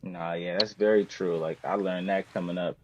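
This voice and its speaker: A man's voice: deep voice, fairly even intonation, moderate pace, background noise. An American man of thirty from the West Coast, a poet speaking as a podcast guest. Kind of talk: poetry reading and casual chat. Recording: dull lo-fi roomy sound.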